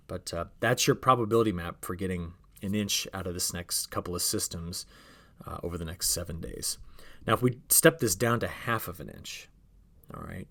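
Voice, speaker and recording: Deep-voiced man explaining weather maps. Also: vocal fry, pushed and bright. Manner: instructional, checks in with okay, all right and rhetorical questions. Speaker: weather forecaster, host. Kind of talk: conversational explanatory weather briefing over maps.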